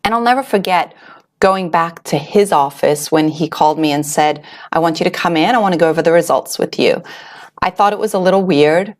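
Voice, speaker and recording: A woman's voice: fairly low pitch, brisk. A middle-aged woman from Switzerland telling her own story to an audience, earnest and reflective, with small fillers and restarts. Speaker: wellness author and host. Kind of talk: personal story told to an audience.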